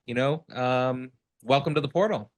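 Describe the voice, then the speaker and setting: A man hosting an online event, welcoming a guest with a halting introduction that repeats words. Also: mid-range voice. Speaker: host.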